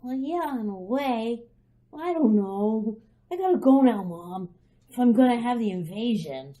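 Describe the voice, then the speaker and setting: A woman playing a headstrong, impatient little girl in a radio drama, loud, definite and childishly eager. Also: a little high, animated, a little slow. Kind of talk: old-time radio drama acting.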